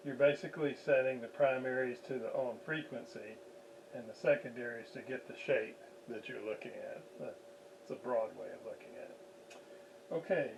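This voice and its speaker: A man giving an unscripted hands-on technical demonstration, haltingly thinking aloud as he works at radio repair. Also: medium pitch, vocal fry, lo-fi sound, noisy background.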